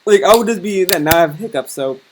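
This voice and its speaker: A man's voice: high voice, expressive, fast, a little hiss or hum. A young man giving a casual, rambling commentary rant, often restarting his sentences and mocking what he's reacting to. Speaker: commentary channel host.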